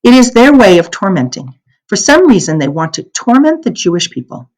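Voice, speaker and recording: A kindly, protective young man in a storybook, read by a woman. He explains things earnestly in a slightly husky voice with an animated rise and fall. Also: mid-range voice, normal speed, pitched above the performer's own voice, low-quality recording. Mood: grave. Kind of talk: children's novel read aloud.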